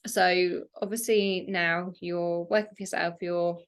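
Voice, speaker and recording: A woman's voice: fairly low pitch, little pitch movement, a little slow, clean tone. A woman in her thirties hosting a podcast, chatty and prompting her guest with questions between laughs. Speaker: podcast host. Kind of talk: casual conversation.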